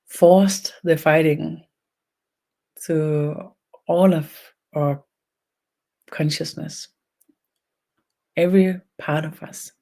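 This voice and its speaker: A speaker from Denmark with a Danish accent and a gravelly creak leads a guided energy meditation online, speaking haltingly in short phrases with long pauses. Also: mid-range voice, steady tone, dark tone, poor audio. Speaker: spiritual energy healer leading an online session. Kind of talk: slow guided meditation and channeled talk.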